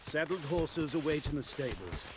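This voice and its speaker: A man's voice: on the high side, sing-song, normal speed, noisy background. An actor playing a formal, courteous nobleman in a dramatic video game. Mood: polite, eager.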